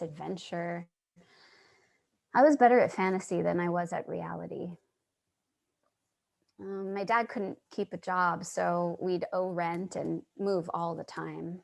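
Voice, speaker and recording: A woman's voice: on the low side, gravelly. An actress playing a troubled, earnest loner woman, hesitant and dramatic, in a staged play reading.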